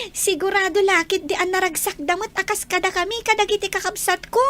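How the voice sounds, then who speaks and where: A woman's voice: extremely high pitch, fairly quick. A woman with an Ilocano accent acting a chatty, affectionate young daughter in an audio drama. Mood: bright, affectionate.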